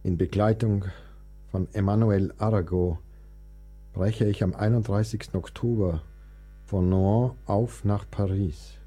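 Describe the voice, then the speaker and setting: A proud lovelorn old-time composer, dark husky voice, formal radio drama delivery. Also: male voice, on the low side, fairly even intonation, unhurried. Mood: sombre recollection. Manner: theatrical, formal literary delivery. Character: ailing, lovelorn 19th-century male composer, proud and wounded. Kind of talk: German radio play acting.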